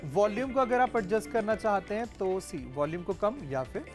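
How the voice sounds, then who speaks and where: A man's voice: sits high, animated, normal speed, over music. A man presenting on a TV shopping channel, steadily explaining product features and urging viewers to call. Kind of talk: product demonstration sales talk.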